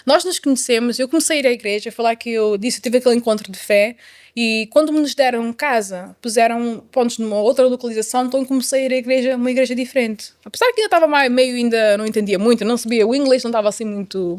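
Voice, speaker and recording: Fast-talking higher-voiced Portuguese woman. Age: middle-aged. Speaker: guest telling her life story. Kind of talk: conversational personal storytelling.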